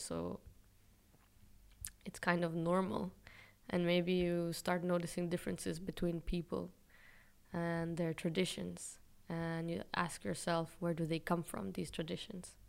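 Calm, smooth-voiced young woman from Israel. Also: lowish voice. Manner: thoughtful, candid, with frequent fillers and self-deprecating laughs. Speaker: interviewee, fashion brand founder. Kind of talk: casual interview answers.

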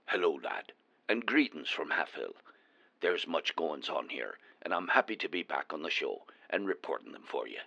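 Casting: man as a gruff, chatty dwarf news reporter with a lilt, very deep gravelly voice, crisp and bright. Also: sing-song, moderate pace, dull audio, faint music behind, heavily acted. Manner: chatty, wry storyteller. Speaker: remote correspondent.

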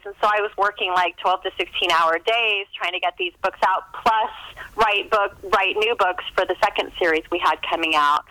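A woman's voice: mid-range voice, pushed and bright, no echo, rough recording. A woman speaking as an interview guest, an author telling her story in a casual, conversational way.

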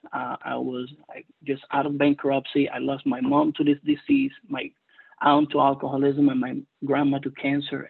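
A man from Cuba with a Cuban Spanish accent, Spanish his first language, giving an earnest personal testimony at a recovery meeting with many hesitant pauses. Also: mid-range voice, vocal fry, breathy edge. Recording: tinny phone-line audio.

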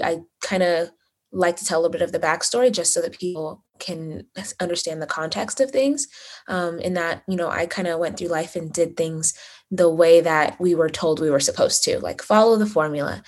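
A low-pitched woman in her thirties talks through her own story as a podcast guest. She is from Uganda and grew up in Las Vegas, and she chats in a loose, upbeat way full of fillers and quick laughs. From Uganda, raised in Las Vegas. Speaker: podcast guest, therapist and money coach. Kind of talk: conversational interview answers.

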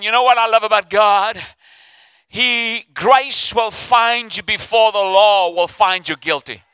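An older man preaches with fervour, his voice high, very bright and smooth. He calls on the church to answer back and slips into the inner voices he describes, all recorded close and dry. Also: no echo. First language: Afrikaans. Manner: fervent, calls for responses, acts out inner voices. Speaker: pastor. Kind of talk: sermon.

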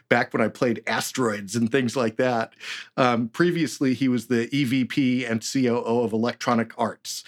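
A man hosting a podcast interview in an upbeat, chatty way. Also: mid-range voice. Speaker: podcast host. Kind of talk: casual interview.

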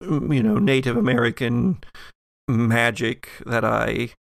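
A man playing an earnest, scholarly student of the arcane in an improvised roleplay, speaking in a halting, deliberate, self-effacing way. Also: mid-range voice, creaky, no room sound. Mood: hesitant, confiding. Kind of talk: improvised in-character actual-play roleplay.

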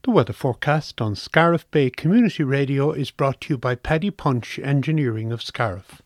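A man's voice: medium pitch, lively intonation, fairly quick, no echo, clean studio sound. A man with an Irish accent reading a scripted weather forecast for radio.

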